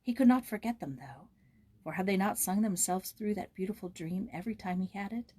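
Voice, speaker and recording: A woman narrating a storybook aloud in a gentle, measured, expressive way. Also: mid-range voice, husky.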